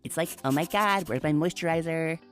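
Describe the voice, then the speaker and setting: A woman's voice: sits low, brisk, faint music behind, natural voice. A woman voicing a ditzy, bubbly young female water kelpie in a cartoon comic dub, gushing like a valley girl.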